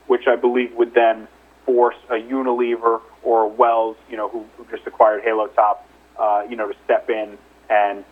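Male company executive, husky, conversational and hedging, heard through a narrow phone-line recording on an investor call. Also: medium pitch, flat delivery, normal speed. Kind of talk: investor call question-and-answer. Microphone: telephone conference call. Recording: narrow, muffled telephone line.

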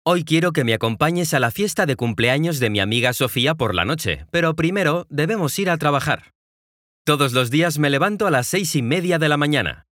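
A man in his twenties with a Mexican accent, narrating clearly and in a friendly way for language learners, addressing the listener directly. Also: fairly high pitch, sharp tone, no echo, studio quality. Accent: Mexican Spanish. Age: 20s. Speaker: podcast host.

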